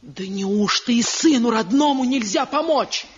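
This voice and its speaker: A woman's voice: on the high side, lively intonation, unhurried. A woman playing a bitter, reproachful older village mother-in-law in a 1970 radio drama, on a muffled old recording. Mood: urgent, nagging. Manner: reproachful, nagging.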